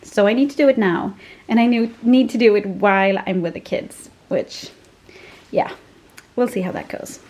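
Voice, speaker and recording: A woman's voice: medium pitch, moderate pace, darkish tone. Chatty woman vlogging at home. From Sweden. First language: Swedish. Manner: chatty, laughs often, loose fillers. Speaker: family vlogger. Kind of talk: casual vlog chat. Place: family home, indoors. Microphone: handheld camera at arm's length.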